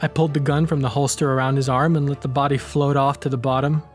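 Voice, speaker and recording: A man's voice: mid-range voice, level tone, quick pace, soft background music, natural voice. A man playing a cocky, wry tough guy who narrates his own hardboiled noir crime story in slangy language. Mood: wry, grim.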